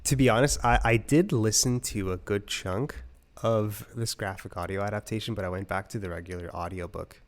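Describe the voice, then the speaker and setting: Guy with a fairly low voice, casual review chat, conversational and explainy. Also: moderate pace. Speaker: audiobook review show co-host.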